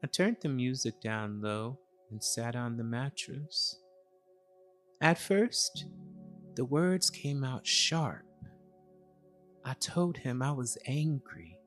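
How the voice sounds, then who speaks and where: A man narrating a scripted first-person story in a solemn, reflective, measured way. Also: mid-range voice, lively intonation, fairly bright tone, faint music behind.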